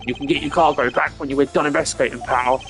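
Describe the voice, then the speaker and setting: A man's voice: mid-range voice, steady tone, fast talker, a bit bright, lightly acted. A man voicing a plain-spoken male police detective with a blunt manner. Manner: blunt, calls people sir and pal. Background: game music.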